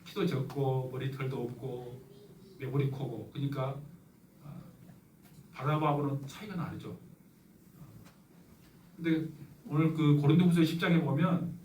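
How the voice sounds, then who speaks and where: Echoey pastor with a Jeolla lilt. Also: male voice, medium pitch, steady tone, a little slow, pauses often, darkish tone, recorded from a distance, a little hiss or hum. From Yeosu, South Korea. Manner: conversational storytelling with light humor. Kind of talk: sermon. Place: church sanctuary. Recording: echoey church hall from afar.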